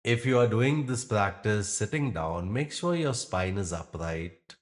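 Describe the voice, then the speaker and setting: A man guiding a meditation as a yoga nidra teacher, speaking in gentle instructions with long pauses. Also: fairly low pitch, expressive. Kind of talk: guided meditation.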